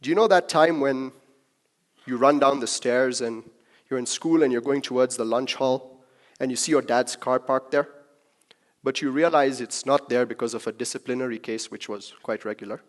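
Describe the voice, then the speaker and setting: Young man, from Kenya, relaxed talk speaker, chatty with the audience. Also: mid-range voice, normal speed. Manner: conversational, jokes with the crowd. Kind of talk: personal talk to a live audience.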